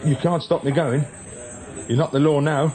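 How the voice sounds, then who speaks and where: An actor playing an evasive, nervy small-time crook in a radio drama, with a British accent and a hesitant, defensive manner. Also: male voice, a little high, fairly even intonation, normal speed, darkish tone, close to an everyday voice. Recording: clear background noise and ambience.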